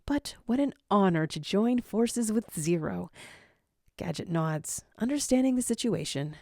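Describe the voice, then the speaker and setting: Expressive woman narrating dramatic fiction. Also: mid-range voice, measured pace, creaky, no echo, studio quality.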